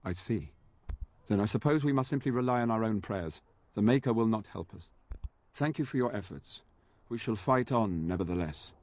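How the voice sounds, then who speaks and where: An actor playing an earnest, devout knight in a fantasy video game, formal and solemn, resigned to a doomed battle. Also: male voice, fairly low pitch, expressive, moderate pace, darkish tone, no echo, lightly acted. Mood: somber, dutiful.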